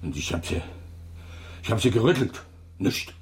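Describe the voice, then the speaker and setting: A man's voice: lowish voice, animated, normal speed, husky, faint music behind. An actor playing a rattled, slovenly widower in his seventies who drinks, stammering and repeating words in a radio drama. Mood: distraught, confused. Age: 70s. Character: slovenly, drunken old widower, rattled and fumbling. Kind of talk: German radio drama acting.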